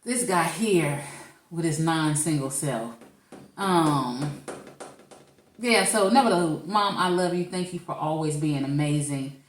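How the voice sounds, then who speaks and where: Woman, very low voice, teasing podcast co-host, roomy echo. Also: unhurried. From St. Louis, Missouri. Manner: playful, teasing. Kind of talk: casual chat.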